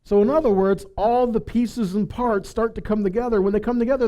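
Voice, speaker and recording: High-pitched, animated man preaching. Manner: rhetorical questions, emphatic repetition. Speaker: Bible teacher. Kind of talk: conversational sermon with scripture reading.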